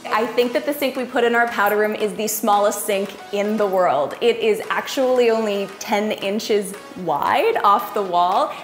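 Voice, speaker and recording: A woman's voice: mid-range voice, echoey room. A woman, giving a house tour as the homeowner in an interview style to camera. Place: indoors, in a home kitchen. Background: background music.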